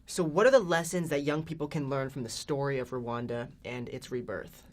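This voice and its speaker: A man's voice: mid-range voice, brisk. A young man interviewing a guest, reading his prepared questions in an upbeat way. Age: young adult.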